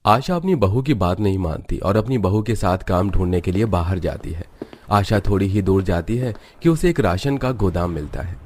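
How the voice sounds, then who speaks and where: Male narrator for cartoon tales: low voice, scripted storytelling delivery. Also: darkish tone, slight background noise.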